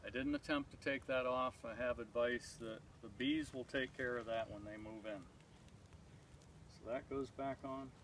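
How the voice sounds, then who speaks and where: A man in his thirties explaining step by step as he works outdoors in spring woods, talking in a plain, methodical way with frequent pauses. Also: medium pitch, steady tone, a bit bright, slight background noise. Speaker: beekeeper vlogger. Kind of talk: casual demonstration. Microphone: far camera on a tripod. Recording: distant outdoor mic with clear background ambience.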